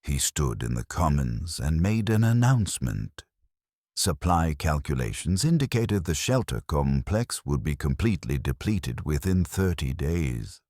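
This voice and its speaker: A man narrating a scripted first-person science fiction horror story in a measured, grave manner. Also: very deep voice, sing-song, a little mellow, no echo, studio quality.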